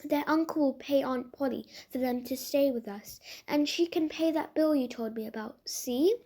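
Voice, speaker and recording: A woman voicing a mild, confident schoolboy with a brisk, matter-of-fact manner in a storybook. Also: sits high, a little mellow. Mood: mild, confiding.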